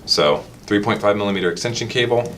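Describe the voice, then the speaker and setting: Guy with a deep voice doing a laid out how-to demo, casual, bit of rumbly background noise. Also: fast talker, a bit bright. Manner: step-by-step explaining. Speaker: product demonstrator. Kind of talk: casual instructional product tutorial.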